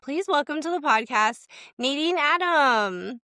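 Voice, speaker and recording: A chatty, enthusiastic woman hosts a podcast in a casual, easygoing way. Her voice sits a little high, and the sound is dry and close. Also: animated, measured pace, sharp tone, dry recording. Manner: chatty, enthusiastic, frequent "like" fillers. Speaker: podcast host.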